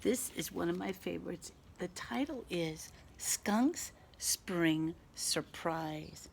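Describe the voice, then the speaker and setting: Slow-paced woman teacher reading to children. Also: fairly low pitch, creaky. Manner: expressive, asking questions, leaving pauses for answers. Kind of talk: interactive children's read-aloud. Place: outdoors in a back garden. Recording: faint outdoor background noise.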